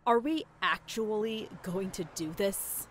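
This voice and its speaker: A woman's voice: medium pitch, lively intonation, unhurried, a little hiss or hum, natural voice. An actress playing an anxious, doubtful mother who is a reluctant accomplice in an audio drama. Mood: nervous. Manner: worried, hesitant.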